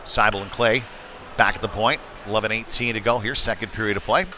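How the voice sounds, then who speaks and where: A man's voice: lowish voice, fairly even intonation, a little fast, bright, forceful tone. A man doing live play-by-play of youth hockey in a casual, folksy, slightly hesitant way. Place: ice rink, live stream broadcast. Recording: clear rink noise behind the voice.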